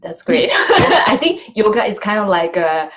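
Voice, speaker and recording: A woman's voice: medium pitch, big pitch swings, unhurried, a bit bright. A woman hosting an interview, asking questions hesitantly with many fillers and restarts. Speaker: host, interviewer. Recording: dull, muffled lo-fi sound.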